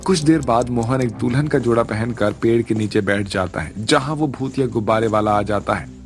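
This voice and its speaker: A man's voice: medium pitch, moderate pace. A man narrating a scripted cartoon story as the storyteller. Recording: music under the voice.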